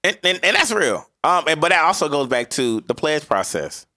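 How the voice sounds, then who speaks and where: Emphatic man, bright voice. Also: a little high, moderate pace, no echo, clean studio sound. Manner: animated, emphatic, profane, repeats words when cutting in. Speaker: podcast co-host. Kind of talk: casual podcast discussion.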